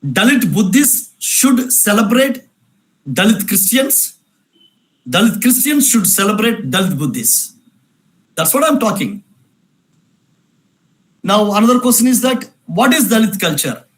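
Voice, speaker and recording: A man's voice: sits high, sing-song, a little slow, pauses often, rough recording. A man giving an emphatic lecture, repeating phrases and asking rhetorical questions. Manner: repetitive, insistent, rhetorical questions. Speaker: speaker at a cultural event.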